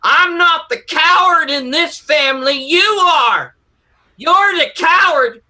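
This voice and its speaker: A man's voice: very high-pitched, animated, slow pace, bright, forceful tone, heavily acted. An actor playing a bitter, anguished young man in a western radio drama, accusing with heavy emphasis. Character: anguished young son bitterly accusing his cruel father.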